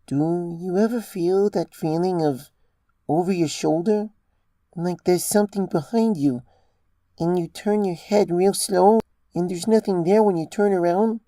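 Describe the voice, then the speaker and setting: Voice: medium pitch, a little slow, mellow, no echo, pitched above the performer's own voice, lightly acted. A narrator voicing an adult female pony, a mother who confides in a hesitant, earnest way, in a story reading. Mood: hesitant, confiding.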